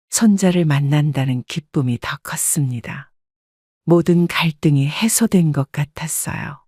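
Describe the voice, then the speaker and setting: A woman narrating her own family story in the first person, calm and reflective. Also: low-pitched, dry recording, studio quality.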